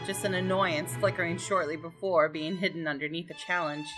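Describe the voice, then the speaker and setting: A low-pitched woman voicing a haughty, menacing homeowner narrates a visual novel. The delivery is brooding and arrogant, like private thoughts read out dramatically. Music plays clearly behind the voice. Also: close to an everyday voice, poor audio. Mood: smug, scornful. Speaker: gaming video host.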